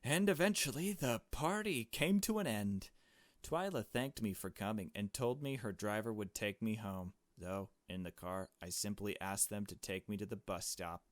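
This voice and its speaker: A man's voice: on the low side, normal speed, no room sound. A man reading a visual novel game aloud in a casual let's play style, dropping in his own asides. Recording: music playing behind the voice. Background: game music with strings.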